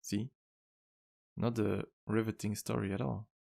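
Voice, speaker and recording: A man playing a charming, modest vampire bartender in an intimate ASMR roleplay, speaking gently with courteous, slightly formal phrasing. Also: fairly low pitch, flat delivery, a little slow, vocal fry, exaggerated voice. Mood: casual, modest. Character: charming, polite, modest male vampire bartender with guilty past. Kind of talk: ASMR roleplay, intimate one-sided dialogue.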